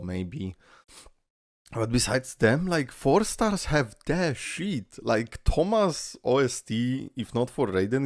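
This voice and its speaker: A man whose first language is Polish, hosting a casual game reaction video and talking in an excitable, rambling way full of fillers. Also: low voice, vocal fry, no room sound, clean studio sound.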